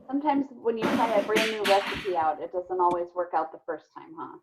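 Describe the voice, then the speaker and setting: A woman's voice: mid-range voice, animated, a little fast, background noise. A woman teacher leading an online class discussion with children in an encouraging, affirming manner. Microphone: video call. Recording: dull, lo-fi video call sound.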